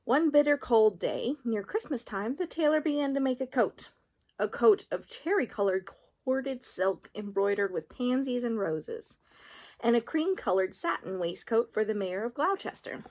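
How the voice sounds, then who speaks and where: A woman storyteller reading a children's book aloud in an expressive narrating style. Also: on the high side, moderate pace.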